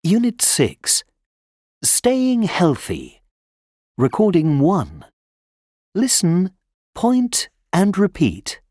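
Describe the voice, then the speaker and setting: Female announcer on course audio, husky, very slow, big rises and falls, dry studio sound. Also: lowish voice, huge pitch swings, mellow, no echo. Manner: announces track titles and numbers with wide swings. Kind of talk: scripted track announcements.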